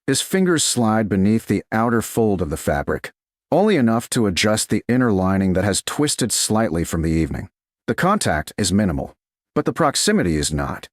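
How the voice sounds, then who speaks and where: A low-pitched man narrates a romantic tale in a deliberate, measured manner. His pitch moves expressively through short dramatic phrases. The recording is close and dry, with no room sound. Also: sing-song, no echo. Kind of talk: scripted narration of a romance story.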